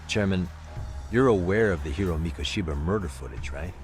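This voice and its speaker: An actor playing a calm, persuasive detective in an English game dub, measured and politely insistent. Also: male voice, low-pitched, over music. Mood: firm, persuasive. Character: calm, persuasive male detective pressing for information. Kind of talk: dramatic game cutscene dialogue.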